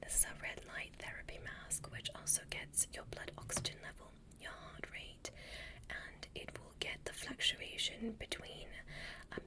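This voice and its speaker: Woman as a whispering, gentle alien doctor. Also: lightly acted. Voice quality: breathy whisper. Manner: soothing, softly instructing. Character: gentle, curious space alien doctor examining a human. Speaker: ASMR roleplayer. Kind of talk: whispered ASMR medical roleplay.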